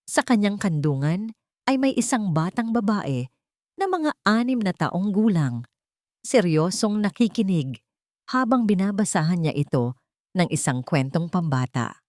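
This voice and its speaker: Female narrator for dramatic fiction: measured, emotive phrasing, highly varied intonation, dry close recording. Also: sing-song, a little mellow, no room sound. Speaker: story narrator. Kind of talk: dramatic scripted storytelling narration.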